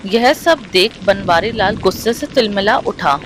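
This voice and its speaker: A woman's voice: medium pitch, animated, moderate pace. A woman reading scripted narration for a moral storybook tale. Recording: rain, thunder and vehicle noise behind.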